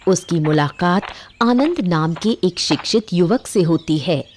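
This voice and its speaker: Low-pitched woman narrating a story. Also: lively intonation, unhurried, a little mellow, a little hiss or hum.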